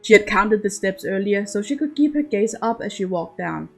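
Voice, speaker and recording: A woman's voice: medium pitch, expressive, moderate pace, creaky, faint music behind. A woman reading scripted fiction aloud as an amateur audiobook narrator.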